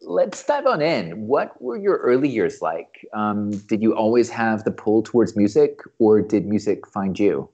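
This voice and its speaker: Male podcast host, lively pitch, upbeat, promotional tone, dry close sound. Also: measured pace, hardly any pauses, dry recording, highs cut off. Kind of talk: scripted intro then interview questions.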